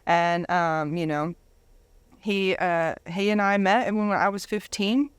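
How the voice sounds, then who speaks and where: A woman's voice: fairly low pitch, takes it slowly, clean tone, dry recording. A woman in her thirties telling her personal story candidly as a guest in a casual interview. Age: 30s.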